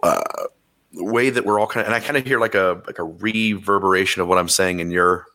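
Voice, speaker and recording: Male podcast host, a bit hoarse and crackly, lower pitch, bright tone, halting casual talk. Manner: halting, many fillers and restarts. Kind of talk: casual chat.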